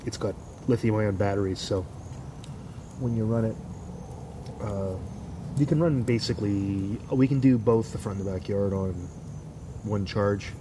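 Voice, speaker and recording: A man's voice: on the low side, measured pace, pauses often. Congested man rambling in a noisy backyard. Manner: rambling, joking, swears casually. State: stuffed up, congested. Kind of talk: casual chat. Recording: outdoor noise, crickets behind.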